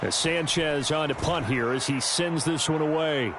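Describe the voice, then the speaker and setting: Game sports caster, voiced by a man: crisp play calling, slightly raised pitch, music bed under. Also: close to an everyday voice. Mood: steady calling. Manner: brisk, measured play calling. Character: adult male football play-by-play announcer. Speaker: play-by-play announcer. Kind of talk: video game sports commentary.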